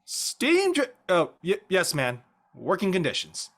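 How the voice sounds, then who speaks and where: A woman's voice: lowish voice, wildly swooping pitch, a little slow, heavily acted. A woman playing a studious, good-natured teenage schoolboy in a drama read. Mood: anxious studying. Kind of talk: audio drama table read acting.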